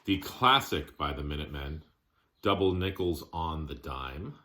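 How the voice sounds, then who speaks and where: Very deep, bright-voiced man presenting casually. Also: measured pace, slight background noise. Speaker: music collector showing his collection. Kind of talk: casual show-and-tell vlog.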